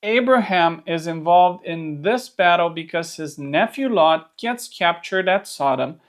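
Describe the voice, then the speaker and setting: A presenter with a Romanian accent, a native Romanian speaker, gives a scripted educational narration in English, explaining things clearly with playful asides. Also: medium pitch, expressive, measured pace. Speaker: host of a Bible history channel.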